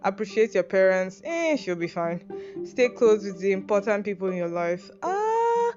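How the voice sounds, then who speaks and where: A woman's voice: mid-range voice, big pitch swings, normal speed. A young Nigerian woman with a Nigerian accent, rambling through an advice list in a self-deprecating, casual monologue full of fillers and swearing. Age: young adult. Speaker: podcast host. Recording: dull lo-fi sound with music behind.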